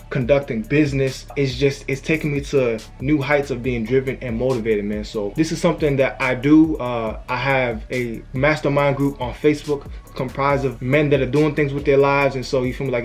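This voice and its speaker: A young man giving an earnest, casual motivational talk to camera as a YouTuber. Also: medium pitch. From family from Haiti, raised in the US. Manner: earnest, conversational, frequent "you know what I'm saying".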